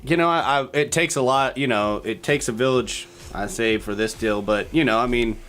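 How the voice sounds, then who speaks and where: A man's voice: medium pitch, moderate pace, fairly bright tone, a little hiss or hum. A man in his late twenties co-hosting a podcast, talking in a hesitant, mumbling way. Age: late 20s. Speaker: co-host, comedy organizer.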